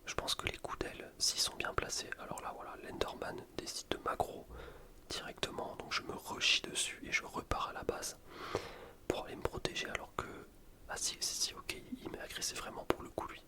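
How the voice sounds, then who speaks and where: A man whispering casual, slangy ASMR commentary over a video game, fully breathy and unvoiced. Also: brisk. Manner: casual, slangy, self-deprecating. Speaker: gaming ASMR video host.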